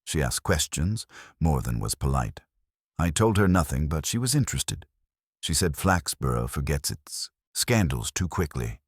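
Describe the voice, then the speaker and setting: Man reading as a troubled widow, low and steady. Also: moderate pace, slightly rough, no echo, clean studio sound, exaggerated voice. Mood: troubled, hesitant. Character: weary, anxious widow, low but steady. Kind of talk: detective audiobook character reading.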